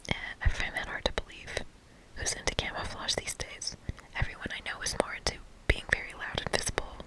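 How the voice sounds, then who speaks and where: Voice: a little fast, natural voice, low-quality recording. Reader playing a blunt, sarcastic young magical camp girl for a game, casual, entirely whispered. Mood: exasperated. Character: sassy, blunt young female magical camper.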